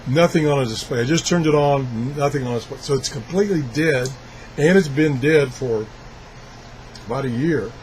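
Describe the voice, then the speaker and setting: A man giving a casual, unscripted product demonstration as a video blogger, thinking aloud haltingly as he handles things. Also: mid-range voice, darkish tone, a little hiss or hum, poor audio. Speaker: video blogger reviewing gadgets.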